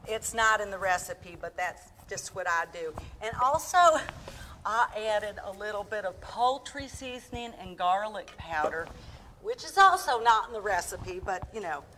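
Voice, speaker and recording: A woman's voice: mid-range voice, animated, normal speed, bright, forceful tone, a little hiss or hum. A woman playing a folksy, chatty Midwestern housewife who hosts a comedic live cooking show, addressing folks directly. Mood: folksy, instructive.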